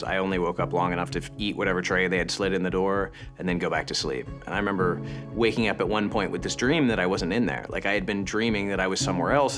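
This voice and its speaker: A man from Virginia, telling his story in a reflective, articulate way in a documentary interview. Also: low voice. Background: music.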